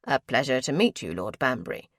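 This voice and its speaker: A woman's voice: a bass voice, big pitch swings, a little fast, dry recording, pitched below the performer's own voice, heavily acted. A woman voicing a reserved, wry young nobleman with a dry, polite manner.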